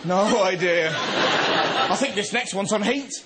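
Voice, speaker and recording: A man's voice: high-pitched, slow. A man hosting a comedy show, delivering a jokey stand-up monologue. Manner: jokey, puts on silly voices. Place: TV studio with live audience. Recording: loud crowd and noise. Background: audience laughing.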